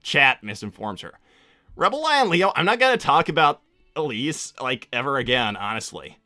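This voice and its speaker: A man voicing a cocky, easily exasperated teenage cartoon hedgehog, chatting off the cuff on a livestream in a casual, halting way that repeats itself. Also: medium pitch, very animated, bright, forceful tone, no room sound, clean studio sound, faint music behind. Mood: evasive, casual. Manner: casual, halting slacker drawl full of repetition. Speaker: livestream host answering viewer questions in character. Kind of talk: improvised in-character livestream chat.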